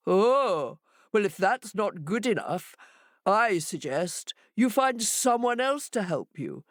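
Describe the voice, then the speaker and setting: Lady doing a show-off jackal guy, loud and bouncy. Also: mid-range voice, big pitch swings, slow pace, dry recording, studio quality, voice pushed up. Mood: brash. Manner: brash and shouting. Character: proud male jackal.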